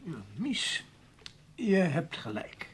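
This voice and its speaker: An actor playing a proud, resigned middle-aged newspaper writer in a Dutch radio play, wordy and protesting in a Netherlands accent. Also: male voice, sits high, wildly swooping pitch, slow, fairly bright tone, slight background noise, heavily acted. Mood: courteous, hesitant. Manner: wordy, hesitant, protesting with repeated little words.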